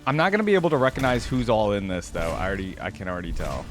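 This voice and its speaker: Excitable man reacting over music. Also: lowish voice, racing. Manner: excitable, slangy, chatty. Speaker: reaction video host. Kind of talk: casual enthusiastic reaction commentary. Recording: music playing behind the voice. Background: music from the videos being watched.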